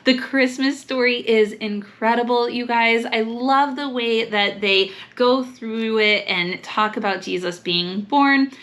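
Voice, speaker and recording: Homeschool mom vlogger, female, high and bright voice, enthusiastic, emphatic delivery of book picks. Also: slow pace, hardly any pauses. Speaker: host, homeschool mom recommending books. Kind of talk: upbeat vlog book recommendations to camera.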